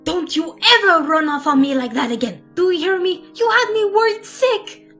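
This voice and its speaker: A woman's voice: extremely high pitch, animated, moderate pace, a bit bright, soft background music, full character voice. A woman acting an anxious, protective female guardian in a fan audio drama, scolding with urgent reproach. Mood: worried, scolding.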